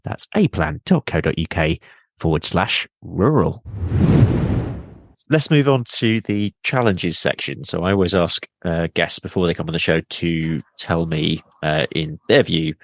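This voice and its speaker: Deep, gravelly male podcast host. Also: expressive, moderate pace, dry recording. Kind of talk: interview questions.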